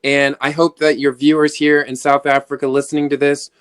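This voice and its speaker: A young man talks in a smooth, fairly high voice with earnest gratitude. The sound is dry and close, like a video call. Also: little pitch movement, a little fast, fairly bright tone, dry recording. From United States. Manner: earnest, grateful, enthusiastic. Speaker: singer-songwriter guest. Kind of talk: interview over video call.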